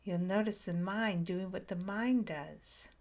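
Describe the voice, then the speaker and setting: A woman leading a guided meditation, speaking softly in short phrases with long pauses and frequent whispered words. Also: medium pitch. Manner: slow, soft and soothing, drifting into whispers.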